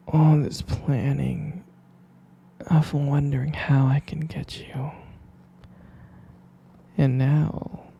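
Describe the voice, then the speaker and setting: A man voicing an obsessive, possessive young captor in an ASMR roleplay, whispering softly and calling the listener pet names. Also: mid-range voice, slight background noise. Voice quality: gravelly whisper.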